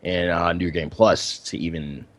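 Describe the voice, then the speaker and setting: A man's voice: deep voice, fairly even intonation, measured pace, a bit bright, dry recording. A man co-hosting a gaming podcast in casual, informal chat, repeating himself as he thinks aloud. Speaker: podcast co-host.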